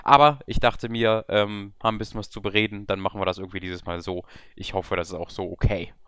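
Man with a cold, smooth voice. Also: fairly low pitch, steady tone, quick pace, a bit bright, no echo. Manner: rambling, self-deprecating, many filler sounds. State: has a cold. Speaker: podcast co-host. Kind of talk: casual podcast chat. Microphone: remote over voice chat.

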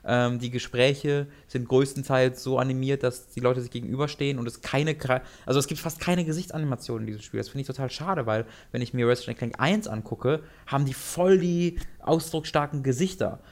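A young man talking about anime and video games in a casual podcast chat, animated and rambling with many restarts. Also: medium pitch, brisk, few pauses. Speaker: podcast co-host.

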